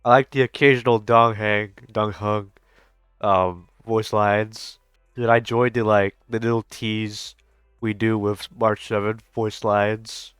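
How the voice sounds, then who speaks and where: A man's voice: on the low side, flat delivery, slow, a bit bright, clear, resonant tone, no room sound. Young guy streaming game reactions, slangy and sweary, dry close sound, music behind. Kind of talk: casual reaction commentary.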